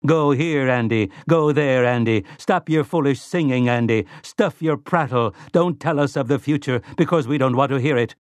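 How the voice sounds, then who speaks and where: A man's voice: mid-range voice, moderate pace, few pauses, dry recording, studio quality, pitched above the performer's own voice, close to an everyday voice. A man voicing a complacent, prissy male servant robot in an audiobook, with smug solicitude and silky menace. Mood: bitter, aggrieved.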